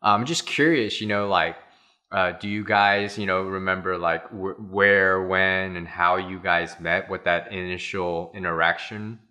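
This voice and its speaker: Chatty podcast host, bright-toned man. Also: low-pitched, fairly even intonation, a little slow. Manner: friendly, rambling with many fillers. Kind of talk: casual podcast interview.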